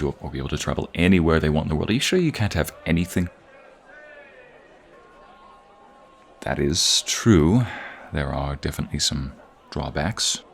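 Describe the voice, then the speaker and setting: Male actor as knightly bodyguard, extremely low voice, respectful, wryly exasperated, earnest, audio roleplay. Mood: patient, earnest. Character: respectful armored knight bodyguard, teasing a bratty princess. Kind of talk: audio roleplay acting, one-sided dialogue.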